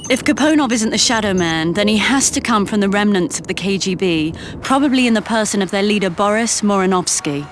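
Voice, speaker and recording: A woman's voice: mid-range voice, animated, fairly quick, few pauses, a little hiss or hum, natural voice. A woman playing a female intelligence analyst, briefing in a dry, matter-of-fact way in a game cutscene.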